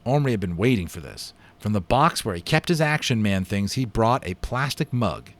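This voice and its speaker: A man's voice: lowish voice, expressive, normal speed. A man reading a children's story aloud with enthusiastic, dramatic expression and casual asides. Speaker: amateur voice actor reading a children's book aloud.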